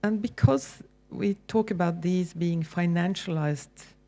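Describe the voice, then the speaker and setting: Norwegian-accented woman with a gravelly voice. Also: fairly low pitch, takes it slowly. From Norway. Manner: relaxed, conversational, with frequent ums and restarts. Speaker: university lecturer. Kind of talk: public lecture.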